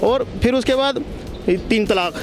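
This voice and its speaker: A man's voice: extremely high pitch, moderate pace, faint music behind. A man with a North Indian accent arguing his opinion insistently in a casual street interview. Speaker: member of the public being interviewed. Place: outdoors on a city street.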